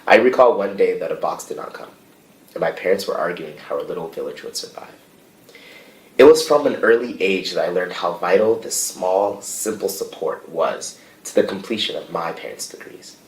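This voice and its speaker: A young man from the United States giving a prepared, formal speech as a student leader, in a measured, earnest manner. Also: deep voice, expressive. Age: young adult. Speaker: student association president. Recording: distant, echoey remote audio.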